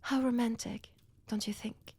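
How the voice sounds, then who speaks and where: Woman playing a slow, whispering French-accented vampire seductress. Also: on the high side, fairly even intonation, a little mellow, dry recording. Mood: wry, bitter. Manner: slow, taunting, theatrical, dropping often into breathy whispers. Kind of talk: intimate scripted roleplay audio drama, often whispered.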